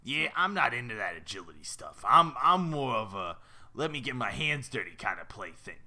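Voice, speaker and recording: A man voicing a big, scheming talking goldfish with a raspy New York accent and a cajoling, streetwise manner. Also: medium pitch, very animated, normal speed, sharp tone, full character voice. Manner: streetwise, cajoling, calls the listener kid.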